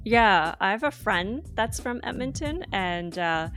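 A woman's voice: mid-range voice, expressive, measured pace, over music. A woman hosting a podcast interview, chatty and friendly, with frequent fillers and laughs. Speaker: podcast host. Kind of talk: casual interview chat. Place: Toronto.

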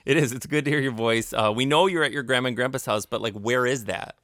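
A man's voice: medium pitch, fast, fairly bright tone, no room sound, studio quality. Easygoing man hosting a casual podcast. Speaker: podcast host. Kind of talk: casual comedy podcast banter.

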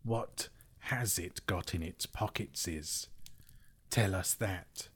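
Storybook creature: wretched, obsessive, hissing, rough-edged voice, muttering and repetitive. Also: male voice, low voice, takes it slowly, a bit bright, exaggerated voice. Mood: suspicious, menacing. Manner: muttering to itself, wailing, repeating words. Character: wretched, scheming, obsessive cave-dwelling creature, male. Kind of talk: storybook character voice in narration.

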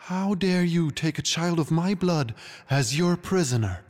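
A man's voice: fairly high pitch, lively intonation, unhurried, pitched above the performer's own voice. A man voicing a proud, wrathful unicorn queen with a haughty, dramatic, regal delivery in a fantasy story. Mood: furious.

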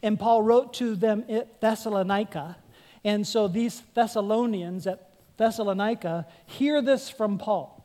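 A woman's voice: mid-range voice, a little slow, mellow. A woman pastor delivering an earnest sermon, putting questions to her congregation and pressing her points.

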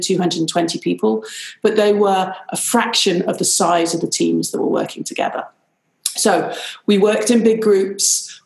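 A woman's voice: medium pitch, gravelly, roomy sound, poor audio. A middle-aged woman with a British accent, a business strategist guest chatting animatedly in an interview over a video call. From Ireland, raised in Pakistan and Nigeria. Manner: chatty, animated, frequent "rather lovely". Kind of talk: conversational interview.

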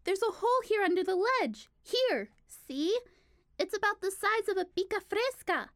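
An excitable little candy girl played by a woman in an audio drama. The voice is extremely high and lively, dry and eager. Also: moderate pace, dry recording, full character voice. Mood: excited, eager.

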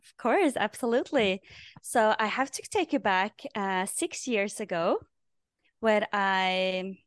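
Smooth-voiced woman from Norway. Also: medium pitch, takes it slowly, dry recording, clean studio sound. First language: Norwegian. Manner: earnest, repeats words as she restarts. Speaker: podcast guest, life purpose coach. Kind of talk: casual storytelling interview.

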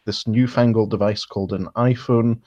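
A man's voice: on the low side, fairly even intonation, moderate pace, dark tone, no echo, highs cut off. A man hosting a podcast, asking questions in a friendly, hesitant way, with many restarts. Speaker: podcast host. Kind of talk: casual interview.

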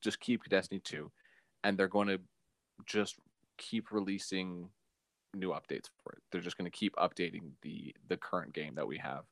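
A man's voice: deep voice, no echo. A man chatting casually as a podcast co-host, explaining things in a hesitant, self-correcting way. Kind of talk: casual chat.

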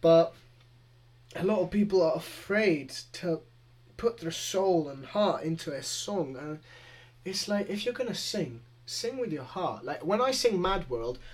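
A man's voice: high voice, lively intonation, a little slow. A young man with a Greek accent, co-hosting a music podcast in casual, rambling chat. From Greece. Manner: chatty, rambling, frequent laughs. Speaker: podcast co-host.

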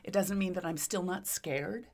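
A woman's voice: on the low side, normal speed. A middle-aged woman in casual conversation, with a big voice, speaking earnestly and emphatically about herself and her adult children. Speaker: conversation partner. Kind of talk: casual chat.

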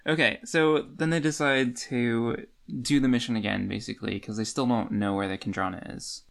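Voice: mid-range voice, fairly even intonation, moderate pace, creaky. A podcast co-host in a casual book chat, recapping the plot in an informal, colloquial way.

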